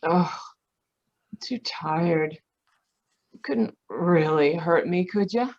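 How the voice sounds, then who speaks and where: A woman playing a weary, slow, low-voiced noir dame. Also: a little mellow. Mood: resigned. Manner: listless, guarded. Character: weary, sickly, resigned woman in radio noir. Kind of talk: 1940s radio drama acting. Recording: muffled video-call audio.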